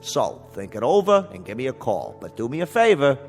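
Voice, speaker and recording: An actor playing a brash, grumpy, streetwise genie who wisecracks in dated hipster slang in a radio drama. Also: male voice, mid-range voice, sing-song, creaky. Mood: breezy, grumbling. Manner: streetwise, fast-quipping, dismissive. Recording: long reverb, faint music behind.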